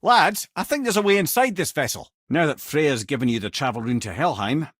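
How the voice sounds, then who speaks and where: A worldly-wise old Scottish storyteller, a reanimated severed head from a video game. His delivery is wry and eloquent, with old-fashioned phrasing and a lively, swooping melody. The sound is dry, with no room around it. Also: a little high, brisk, slightly rough, no echo. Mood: wry, knowing.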